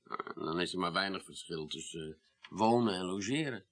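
An actor playing a polite, curious police investigator in a radio play, asking questions in a tentative, halting way. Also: male voice, deep voice, fairly bright tone. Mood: hesitant, puzzled. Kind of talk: 1980s Dutch radio play acting.

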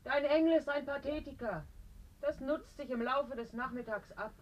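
An actress playing a caustic, impatient life companion in a German radio play, with a dismissive manner. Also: female voice, high-pitched, moderate pace, lo-fi sound, close to an everyday voice.

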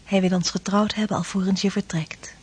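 A woman's voice: medium pitch, steady tone, brisk, husky, close to an everyday voice. An actress playing a young merchant's daughter longing for her fiancé in a radio play. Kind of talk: radio drama acting.

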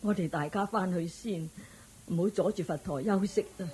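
A woman's voice: fairly low pitch, moderate pace, dark tone, a little hiss or hum, close to an everyday voice. A woman playing a forbearing, aggrieved elderly mother-in-law with a sighing manner in a radio drama. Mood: resigned. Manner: sighing, long-suffering. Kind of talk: Cantonese radio drama acting.

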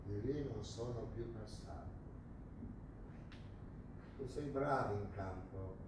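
A man acts out a menacing, cynical regime interrogator in a stage drama. His mid-pitched voice taunts and threatens coarsely. It sounds distant and very echoey, with clear background noise. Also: close to an everyday voice. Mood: scornful, sly. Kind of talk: live stage drama acting. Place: theatre stage, indoors. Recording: echoey, noisy theatre recording from far off.

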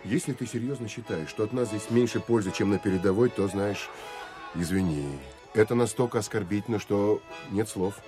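A man's voice: on the low side, normal speed, mellow, faint music behind, close to an everyday voice. An actor playing a wry, seasoned Soviet army counterintelligence man in a radio play, talking in a colloquial, teasing, coaxing way. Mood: coaxing, indignant.

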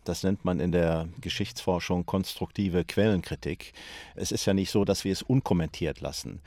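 A man speaking as a journalist, explaining his work with deliberate, emphatic phrasing. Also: deep voice, darkish tone, no room sound. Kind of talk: explanatory commentary.

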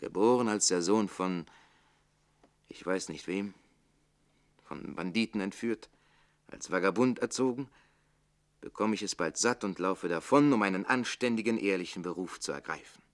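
Radio drama male actor for bitter, witty manservant: lowish voice, declamatory, defiant, moving from hushed to forceful. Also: normal speed, long pauses, close to an everyday voice. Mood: indignant, sardonic. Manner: declamatory, rhetorical, shifting between hushed and forceful. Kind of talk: theatrical German radio play acting.

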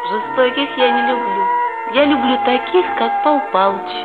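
A little schoolgirl, acted by a woman speaks in a vintage radio play. She is frank, direct and childlike. The sound is dull and lo-fi, with loud music behind it. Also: fairly high pitch, moderate pace, natural voice. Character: small, frank, pleading schoolgirl. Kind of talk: 1960s Soviet radio play acting. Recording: muffled old radio recording with music.

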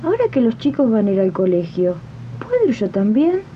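A woman's voice: a little high, wildly swooping pitch, fairly quick, a little hiss or hum. A woman playing a mistreated young country housemaid in a radio drama, pleading and deferential. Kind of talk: 1980s community radio drama acting. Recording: dull, lo-fi archival radio tape.

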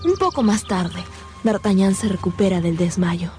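A woman's voice: mid-range voice, expressive, moderate pace, a little mellow. A woman narrator delivering scripted, dramatic narration for a radio drama. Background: dramatic music.

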